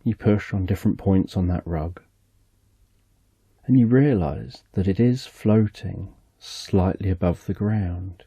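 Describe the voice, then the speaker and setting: A man narrating a slow, soothing guided relaxation story in the second person, speaking softly and gently. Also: sits low, breathy edge, no room sound.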